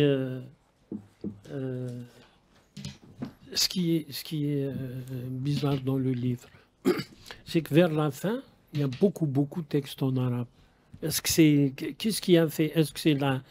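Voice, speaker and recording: A man's voice: mid-range voice, dark tone, slight background noise. A man hosting a radio interview, asking long, rambling questions with many halts and restarts. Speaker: radio host.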